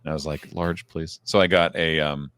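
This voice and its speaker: Very deep-voiced man, casual podcast chat. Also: level tone, moderate pace, a bit bright, clean tone, dry recording. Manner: rambling, self-interrupting storyteller. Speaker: podcast co-host.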